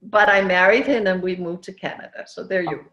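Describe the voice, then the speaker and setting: A woman from Denmark who grew up in Venezuela, speaking English with an accent as an interview guest, animated and laughing often. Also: fairly low pitch, a little fast, reverberant, dull audio. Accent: foreign accent. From Denmark, raised in Venezuela. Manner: animated storytelling, laughs often, many restarts. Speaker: entrepreneur guest. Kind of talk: casual interview chat.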